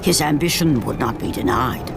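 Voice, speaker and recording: A woman giving dramatic scripted narration of a mythic tragedy in a video game, grave, measured and portentous. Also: deep voice, vocal fry. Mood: solemn. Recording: loud music behind. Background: music.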